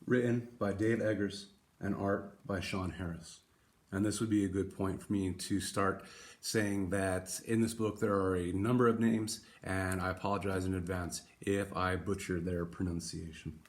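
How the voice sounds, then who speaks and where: A man hosting a read-aloud for schoolchildren, speaking in a deliberate storytelling way to young listeners. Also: low-pitched, fairly even intonation. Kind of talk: expressive children's picture book read-aloud.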